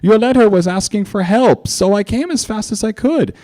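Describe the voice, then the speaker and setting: A man's voice: high-pitched, lively intonation, moderate pace, mellow, voice pushed up. A man voicing a caring, regal female cartoon pony princess with a gracious, concerned manner.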